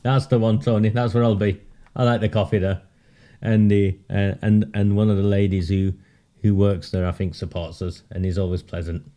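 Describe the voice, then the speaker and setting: A man's voice: fairly low pitch, little pitch movement, normal speed, warm tone. A man hosting a live-streamed political monologue, sardonic and emphatic, often laughing at his own points and repeating words for emphasis. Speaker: political commentary host.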